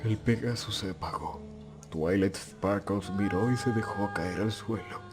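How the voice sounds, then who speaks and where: A man narrates a dramatic story from a script. His voice sits on the low side for a male narrator. Music plays clearly behind him throughout. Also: unhurried.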